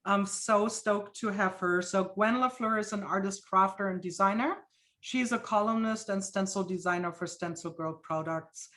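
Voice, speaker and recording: A woman's voice: mid-range voice, normal speed, a bit bright. German-accented woman hosting a video call. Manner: chatty, with frequent fillers and laughs. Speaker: host. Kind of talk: webinar hosting. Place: home studio.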